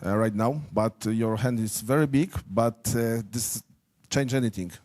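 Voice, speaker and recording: Mellow-voiced Polish man, boastful. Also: medium pitch, unhurried. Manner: broken English, boastful. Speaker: arm wrestler. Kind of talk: press conference trash talk.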